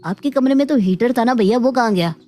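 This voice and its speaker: A woman's voice: on the high side, lively intonation, fast talker, warm tone, natural voice. Woman acting a carefree, clueless younger brother. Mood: pleading. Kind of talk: Hindi cartoon family drama acting. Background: faint background music.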